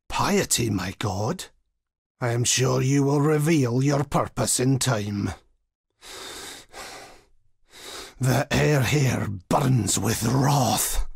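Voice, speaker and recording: A man voicing a devout, grim, righteous old templar in a video game, solemn and preacherly, with a gravelly, husky voice. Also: mid-range voice, dry recording, clean studio sound, exaggerated voice. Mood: grave, brooding. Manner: solemn, pious, archaic preacherly phrasing. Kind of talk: dramatic video game character voice lines.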